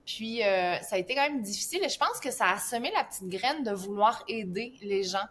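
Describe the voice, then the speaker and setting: A woman's voice: medium pitch, animated, a little fast. A woman from southern France, a guest health coach, telling her story in a casual podcast, with frequent laughs and fillers. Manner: warm storytelling, laughs often, many fillers.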